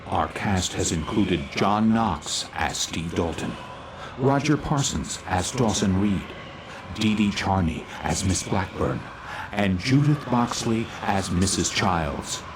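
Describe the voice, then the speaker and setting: Casting: male announcer reading closing credits, fairly low voice, noisy recording. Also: takes it slowly. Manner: formal and even.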